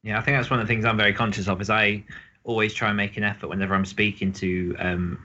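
A man's voice: on the low side, level tone, fast, sharp tone, slight background noise. A man speaking casually as a podcast co-host, with a slightly lo-fi sound to his voice. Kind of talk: casual chat.

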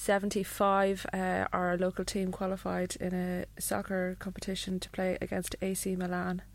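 A woman from Ireland, a podcast guest telling stories slowly with plenty of fillers. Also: fairly low pitch, monotone, creaky. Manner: unhurried, halting with fillers. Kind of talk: casual podcast chat.

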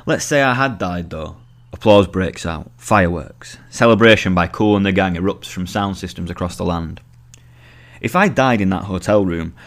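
A young British man reading his own written article aloud as scripted narration. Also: low-pitched.

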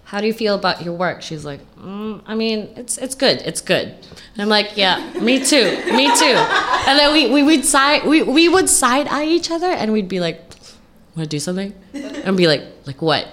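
A woman's voice: medium pitch, animated, moderate pace, fairly bright tone, a little hiss or hum. A woman from Hong Kong, a guest and company co-founder, chatting in an interview in a playful, candid way and acting out stories with quoted dialogue. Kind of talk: casual interview chat.

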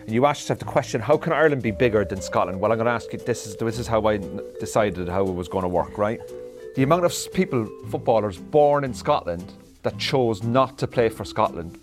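A man on a comedy panel show, making an emphatic, argumentative pitch full of figures. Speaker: panel guest.

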